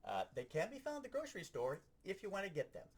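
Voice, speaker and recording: A man giving an animated, explanatory, hands-on lesson as a sound effects instructor. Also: high-pitched, fast talker, slightly rough.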